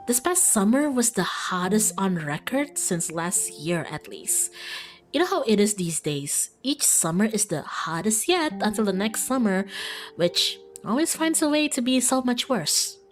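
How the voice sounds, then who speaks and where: A woman's voice: medium pitch, expressive, measured pace, soft background music, lightly acted. A woman voicing an upbeat, chatty small-town young woman from a visual novel, breezy and rambling. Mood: jaunty, chatty.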